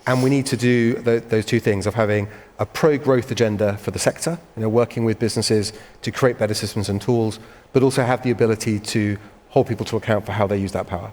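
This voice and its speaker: Quick-talking British-born minister. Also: male voice, on the low side, steady tone, darkish tone. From United Kingdom. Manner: fluent, with "um" and "uh". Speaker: government minister on a panel. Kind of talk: panel discussion. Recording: reverberant conference hall.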